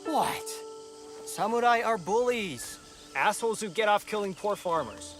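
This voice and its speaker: A cocky rogue thief in a video game, with a fairly high male voice. He is flirtatious and irreverent, swaggering and crude with a bitter edge, and music plays clearly behind him. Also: expressive, measured pace. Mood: bitter, indignant. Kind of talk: dramatic video game dialogue.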